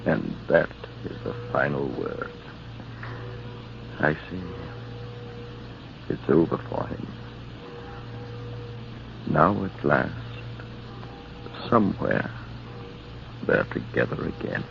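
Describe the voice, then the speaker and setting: A man acting a loyal, sorrowful friend with a solemn, measured delivery in an old-time radio drama. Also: extremely low pitch, big pitch swings, gravelly, exaggerated voice. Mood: mournful. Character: sorrowful loyal friend of a captain, recounting tragedy. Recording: muffled, noisy old radio recording.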